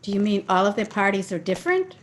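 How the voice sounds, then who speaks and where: Faint noise sits behind a woman playing a sweet young fiancée from an old radio drama. She is affectionate and coy, with a medium-pitched voice. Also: very animated, fast, natural voice. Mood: curious, teasing. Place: indoors, recorded over a video call. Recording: faintly noisy home video-call recording.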